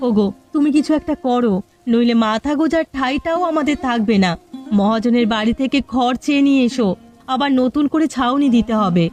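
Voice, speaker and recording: A woman's voice: a little high, a little fast, darkish tone, faint music behind, lightly acted. A woman voicing a village mother in a Bengali cartoon. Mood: worried. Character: stern, scolding village mother, later a worried poor wife. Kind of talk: cartoon voice acting.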